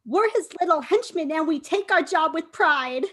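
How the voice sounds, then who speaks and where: A woman plays a small trick-or-treating henchman in a table read. The child is proud and mischievous, with an extremely high voice. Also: animated, normal speed, a bit bright. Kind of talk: live amateur table read of a film script.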